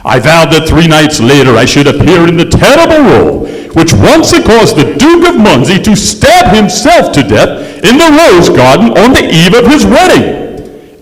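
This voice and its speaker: An actor playing a vain, indignant centuries-old ghost, narrating in a pompous, melodramatic old-time radio style. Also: male voice, medium pitch. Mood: vengeful, grandiose. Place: live stage in a courthouse museum. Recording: echoey hall stage recording.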